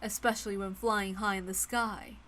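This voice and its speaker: An elegant witch played by a woman reader gives lessons in magic. She speaks in a measured, lofty way, pitched down from a bright narrating voice, in storybook style. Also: flat delivery, slight background noise, close to an everyday voice. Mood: lofty, teasing. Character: elegant, instructive female witch teaching magic.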